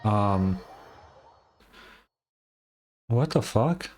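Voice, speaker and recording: A woman's voice: rumbling low, expressive, drawn-out words, darkish tone, faint music behind. A woman casually reacting to a video game as a streamer, sounding tired and hesitant, muttering her comments.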